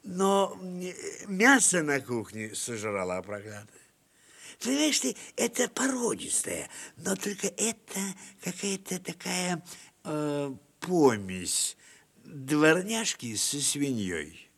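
An actor playing a tipsy, blustering military gentleman in an audio play, stammering and repeating himself as he coaxes. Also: fairly high pitch, sing-song, slow pace, creaky, heavily acted. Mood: grumbling. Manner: stammering, repetitive, blustering, coaxing. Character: tipsy, wheedling military gentleman pushing his unwanted dog on a guest. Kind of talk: theatrical audio play of a classic short story.

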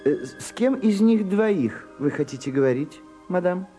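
A man's voice: sits high, lively intonation, normal speed, warm tone, faint music behind. An actor in a radio play playing a polite, dry male secretary to an old actress. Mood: deferential. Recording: long echoey reverb.

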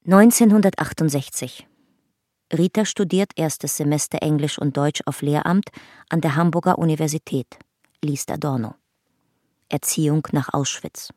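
An actress as the narrator of a historical radio drama, reading a chronicle in a sober, measured documentary manner. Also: female voice, deep voice, darkish tone, no echo, studio quality.

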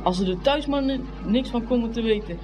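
A plucky, easily offended young boy as an actor plays him mutters and exclaims his way round a fair. It is radio play acting, with music clearly behind the voice. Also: medium pitch, fairly quick, lightly acted. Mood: sulky, aggrieved.

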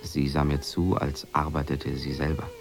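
An actor playing a brooding, quietly guilty expatriate husband, narrating a radio drama in a measured, reflective, subdued way with a gravelly voice. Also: male voice, extremely low pitch, monotone, over music.

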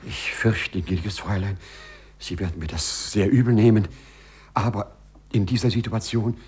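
A man acting in a 1950s German radio play as a courteous, lovestruck gentleman burglar with formal, flowery speech. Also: lowish voice, normal speed, breathy edge, no echo. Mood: flustered, apologetic. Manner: formal, flowery, over-polite. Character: courteous, lovestruck gentleman burglar who walks through walls.

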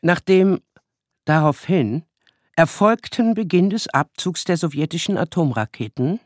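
A woman acting as the wry, deliberate storyteller of a childhood tale in a German radio play. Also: low-pitched, animated, dry recording, studio quality. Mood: storytelling. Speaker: narrator. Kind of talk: scripted dramatic narration in German radio play.

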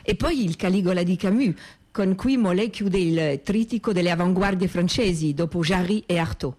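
A woman's voice: lowish voice, moderate pace, a little mellow. A woman with a French accent reading theatrical script as actress and narrator.

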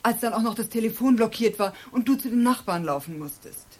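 An actress playing a concerned, soothing woman in a radio crime drama, earnest and gently appeasing. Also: on the high side, lively intonation, brisk, breathy edge. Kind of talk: German radio crime play acting.

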